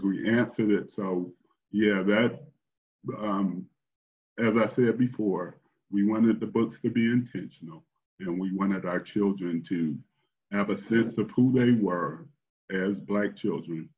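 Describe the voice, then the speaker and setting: Older male storyteller, low and unhurried, measured delivery, muffled online-call sound. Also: steady tone. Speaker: storyteller and host. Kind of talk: expressive read-aloud storytelling, then casual chat. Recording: dull, lo-fi online call audio.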